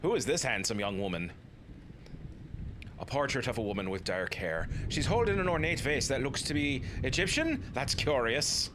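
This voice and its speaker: A man's voice: mid-range voice, expressive, moderate pace, noisy background. A man in his thirties hosting a let's play, joking and commenting casually as he plays. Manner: playful, jokey, slips into silly voices.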